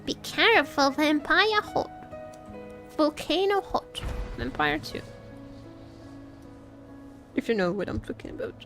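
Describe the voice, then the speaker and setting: Playful woman streaming a game, high lively voice, kinda slow talker, repeats herself to chat. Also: soft background music. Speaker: livestreamer playing a game. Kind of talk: casual chatty game stream commentary.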